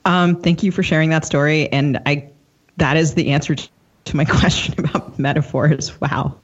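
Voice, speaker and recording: A woman co-hosting a podcast interview, speaking casually and thoughtfully in a halting, discursive, earnest way. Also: sits low, fairly even intonation, breathy edge, dull audio.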